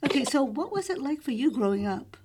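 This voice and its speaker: A woman hosting an interview show, reading a prepared introduction and then chatting with a guest, with soft murmured responses. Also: high voice, big pitch swings, brisk, noisy background. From Philippines. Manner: reads intro smoothly, then chats with soft backchannels. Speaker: podcast host.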